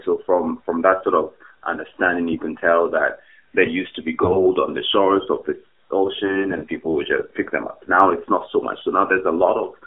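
Deep-voiced man on a tinny line. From Ghana. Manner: thoughtful, hesitant with fillers and restarts. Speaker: academic guest. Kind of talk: podcast interview answers. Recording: tinny phone-like remote line.

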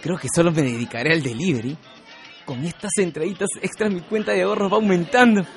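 An actor with a Peruvian accent playing a cheeky, self-satisfied young son who works as a delivery rider in a radio soap. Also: male voice, high voice, quick pace, faint music behind, some chatter behind. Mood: cheeky, boastful. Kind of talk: educational radio soap acting.

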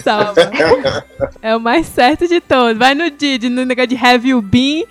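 A woman's voice: high voice, unhurried, over music. A woman chatting casually and agreeing readily. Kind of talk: casual chat.

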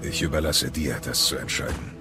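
Bottomless bass, stern warrior father, measured, stoic, game drama, music underneath. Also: fairly even intonation. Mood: grave, curt. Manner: grave, terse, measured. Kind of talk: dramatic video game dialogue as audio drama. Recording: music behind the voice.

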